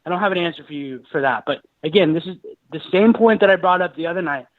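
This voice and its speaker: A man's voice: sits high, quick pace, no room sound. A man in his thirties calling in to a radio show, talking earnestly with many restarts and fillers. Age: 30s. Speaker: caller. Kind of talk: call-in radio talk. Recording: dull phone-line call audio.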